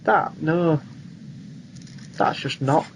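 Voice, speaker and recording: A man's voice: fairly high pitch, extremely slow. A young man co-hosting a podcast, chatting casually about films in an easygoing, agreeable way. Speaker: podcast co-host. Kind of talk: casual film discussion. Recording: dull lo-fi voice track, faint noise.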